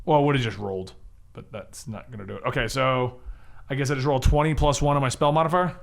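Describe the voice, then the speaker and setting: A man chatting casually and excitably as a player in a tabletop role-playing game, jokey and quick to laugh. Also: mid-range voice, vocal fry.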